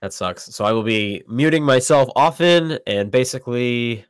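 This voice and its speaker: A man's voice: medium pitch, normal speed, clear, resonant tone, dry recording. A man with a cold and a stuffy, sniffly voice, hosting a casual podcast and animatedly acting out the lines of people in his stories. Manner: animated storyteller, acts out quoted lines. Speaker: podcast host. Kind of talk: casual chat.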